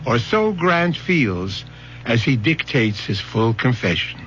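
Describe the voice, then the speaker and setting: Music plays prominently under a man's voice on a muffled old broadcast. He is a radio drama host, deliberate and literary, narrating with theatrical weight. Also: mid-range voice, big pitch swings.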